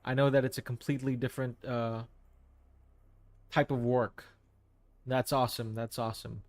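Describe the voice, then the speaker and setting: A man's voice: medium pitch, flat delivery, normal speed, a little mellow, no room sound. A man hosting a live art stream, reading out chat messages and answering them casually, with some hesitant restarts. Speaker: livestream host. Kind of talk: casual chat with viewers while painting.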